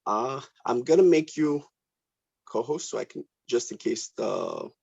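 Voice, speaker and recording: Give this voice a man of middling pitch leading a casual meeting, polite and a bit hesitant as he organizes things. The sound is dull and lo-fi, as on a video call. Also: animated, a little slow, gravelly, a little mellow, slightly rough. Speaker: film director leading a table read. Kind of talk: casual informal meeting talk.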